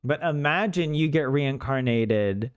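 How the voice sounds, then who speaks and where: A man from the American South talks off the cuff to his stream with lively, swooping intonation. His pitch sits in the middle of the male range, and he rambles with dry self-deprecating jokes. Music plays softly behind him. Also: a little slow, clear, resonant tone, no room sound, highs cut off. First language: English. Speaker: streamer learning Finnish. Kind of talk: casual livestream chat.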